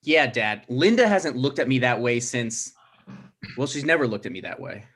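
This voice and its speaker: A man's voice: medium pitch, lively intonation, normal speed, a bit bright, husky. A man playing a nervous, placating adult son who tries to stand firm, in a comedy table read. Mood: casual.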